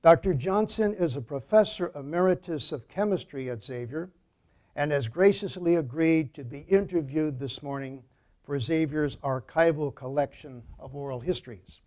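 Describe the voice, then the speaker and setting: A man's voice: on the high side, moderate pace, darkish tone. A man who is a priest and university archivist, formally introducing and questioning a guest in an oral history interview. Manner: formal, courteous, frequent affirming murmurs.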